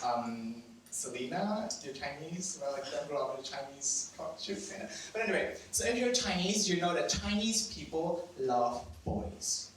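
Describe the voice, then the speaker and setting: Young adult man, Cambodian accent, Khmer native, brightish higher voice, live storytelling, self-mocking and cheeky, faint hum behind. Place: event venue with audience. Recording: very echoey room, mic at a distance.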